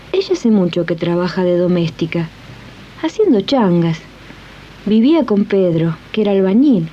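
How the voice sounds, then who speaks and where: A woman's voice: mid-range voice, warm tone, a little hiss or hum, natural voice. A woman with an Argentine accent playing a chatty domestic worker telling stories to her comrades in a community radio drama. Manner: colloquial, addresses listeners as comrades. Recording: dull archive radio recording, music behind.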